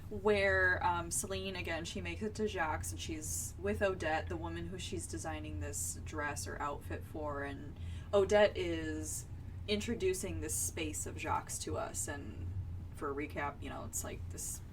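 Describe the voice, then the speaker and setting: A woman's voice: low voice, moderate pace. A woman co-hosting a casual book discussion podcast, with a wry, sarcastic way of making asides. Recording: distant mic, faint background noise.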